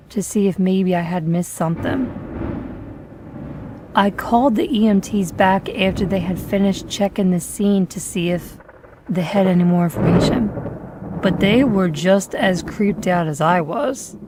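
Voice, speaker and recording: A woman giving a slow, somber first-person horror story narration. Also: mid-range voice, background noise.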